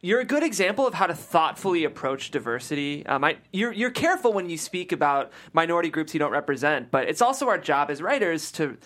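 A man's voice: fairly high pitch, big pitch swings, quick pace, hardly any pauses, fairly bright tone. A man hosting a podcast in casual interview chat. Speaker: podcast host.

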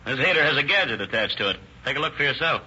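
Detective sidekick, terse, casual, forceful bright voice, vintage radio drama, dull hissy recording. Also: male voice, medium pitch, expressive, very fast. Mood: matter-of-fact. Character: matter-of-fact male police lieutenant, investigative partner. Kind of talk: 1930s radio drama acting.

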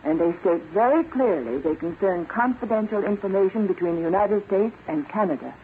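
A woman's voice: mid-range voice, animated, fairly quick, phone-quality sound, lightly acted. An actress playing an earnest, anxious young woman working as an amateur spy in an old-time radio drama, polite in manner. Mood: anxious, urgent. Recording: scratchy, band-limited old radio recording.

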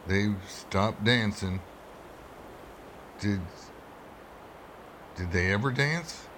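A man's voice: fairly low pitch, expressive, drawn-out words, background noise. A man playing a stern, urgent small-town doctor in old-time radio horror style, commanding and dramatic. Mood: grim, haunted.

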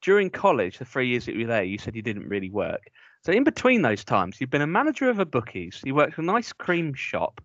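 A young man with a Northern English accent co-hosting a podcast, teasing his friend with quick comebacks. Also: mid-range voice, huge pitch swings, a bit bright, no echo. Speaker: podcast co-host. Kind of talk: casual banter. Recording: dull lo-fi dry sound.

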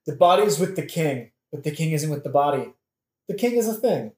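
A man's voice: a little high, lively intonation, fairly quick, a little mellow, lightly acted. Man playing a scornful, insolent prince, echoey. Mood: cryptic, dismissive. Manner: mocking, profane, sardonic. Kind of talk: satirical audio play acting. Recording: echoey room.

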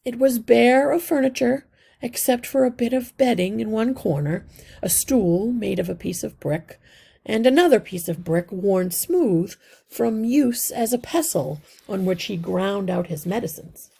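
A woman reading a children's novel aloud as an expressive storyteller. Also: fairly high pitch, measured pace, creaky, husky. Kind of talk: expressive scripted narration for children.

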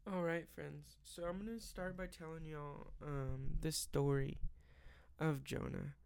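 Raspy, tired podcaster with gravelly fry. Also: mid-range voice, steady tone, a little slow, a little mellow. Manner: rambling, many fillers and restarts. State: tired, late at night. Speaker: podcast host. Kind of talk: casual faith podcast with prayer.

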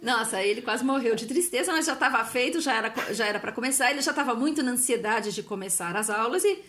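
A woman from Brazil with a fairly high voice recounts her family's move abroad in easy, conversational storytelling. She laughs often and gives the people she quotes little voices of their own. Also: expressive, fast talker, fairly bright tone, a little hiss or hum. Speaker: guest. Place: Italy.

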